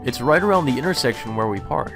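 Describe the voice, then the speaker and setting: A man voicing a polite high school boy, a priest's son with formal phrasing, reading visual novel dialogue aloud. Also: expressive, fast, creaky. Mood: serious. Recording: background music under the voice.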